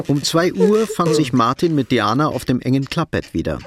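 A man narrating a radio drama in a measured, understated, literary style. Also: medium pitch, animated.

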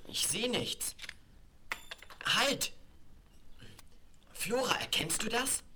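A woman's voice: a little high, animated, very slow. A woman playing a thoughtful, practical adolescent boy in a seventies radio play on vinyl. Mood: excited, determined. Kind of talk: 1970s German radio play acting. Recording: crackly 1970s vinyl record.

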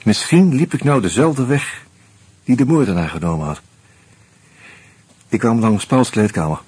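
An actor playing an uneasy murder suspect in a radio play, musing and hesitant, with a wry streak. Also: male voice, mid-range voice, moderate pace, darkish tone, slightly rough, no echo, close to an everyday voice. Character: uneasy male murder suspect narrating his own investigation. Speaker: actor and first-person narrator. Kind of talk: Dutch radio play, reflective narration and dialogue.

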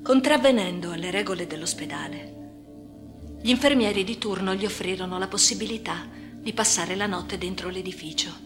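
An actress playing a troubled, defiant young woman in a radio monologue, recounting her life with an intense delivery that moves between hushed and agitated. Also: medium pitch, moderate pace, close to an everyday voice. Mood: tense, brooding. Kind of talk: dramatic first-person radio monologue. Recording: music under the voice. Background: music.

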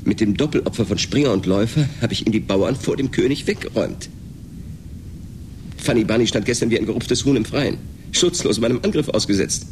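An actor playing a puzzled, stubborn chess player with a cold in a German radio play, reflective and self-justifying. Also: male voice, mid-range voice, a little fast, darkish tone, a little hiss or hum, close to an everyday voice. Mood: baffled, defensive.

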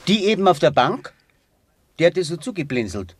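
An actor playing a stern, dismissive political hardliner in a radio play, blunt and dogmatic. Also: male voice, on the high side, sing-song, a little fast, slightly rough, dry recording. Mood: disdainful, irritated. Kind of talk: stage-like radio play acting.

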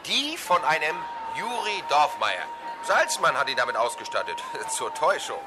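A man's voice: a little high, wildly swooping pitch, moderate pace, over music. An actor playing a dutiful, slightly needling police assistant reporting to his chief in a radio drama. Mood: smug reporting. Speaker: police subordinate character.

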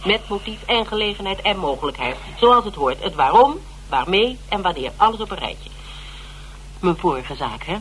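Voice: medium pitch, sing-song, moderate pace, a bit bright. An actor in a Dutch radio drama playing a determined, persuasive investigator who repeats words insistently. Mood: insistent, pleading. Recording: noisy old radio drama recording with hum.

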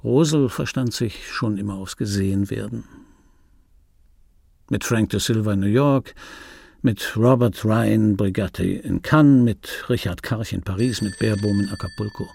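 An actor playing a wry, self-important male film dubbing artist who recounts his life in a radio play monologue, with dry irony and pointed, repeated phrasing, in a gravelly, husky voice. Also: lowish voice, a little slow, darkish tone, no room sound. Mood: sardonic, confiding. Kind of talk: intimate radio drama monologue.

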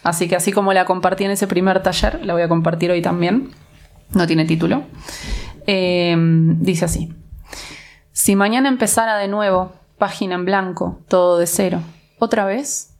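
Woman, lowish voice, soft-spoken, deliberate poetry reading. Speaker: writing workshop participant. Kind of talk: reading her own poem.

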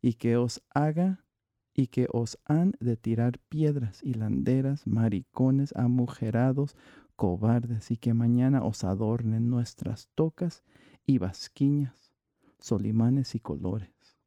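A man's voice: medium pitch, slow pace, mellow, no echo. A man reciting a dramatic monologue from a classical verse play. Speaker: monologue reader.

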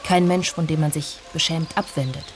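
An actor playing a somber villager who narrates a radio drama in a measured, brooding way. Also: mid-range voice, fairly even intonation, close to an everyday voice. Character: somber village narrator recalling an old tragedy. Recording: music bed under the voice. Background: music.

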